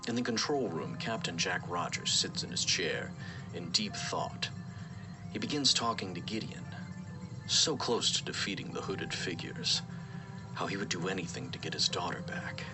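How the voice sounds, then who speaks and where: A man's voice: low voice, moderate pace, over music, poor audio. A man delivering scripted story narration as the narrator of an audio drama.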